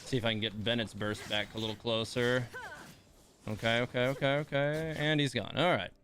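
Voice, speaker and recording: A man doing casual live gameplay commentary, rambling and thinking out loud. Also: medium pitch, fairly even intonation, brisk, slight background noise. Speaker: live streamer.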